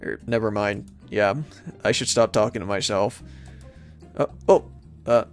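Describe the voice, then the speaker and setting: A man giving casual video game commentary, thinking aloud in a rambling, halting way as he plays and reads from the screen. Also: on the low side, fairly even intonation, dry recording, soft background music. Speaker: let's-play host.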